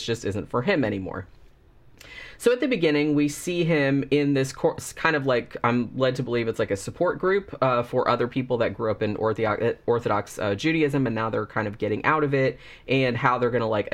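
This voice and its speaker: A man hosting a casual movie review, talking through a cold with a slightly congested voice in a rambling, chatty way full of fillers. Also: medium pitch.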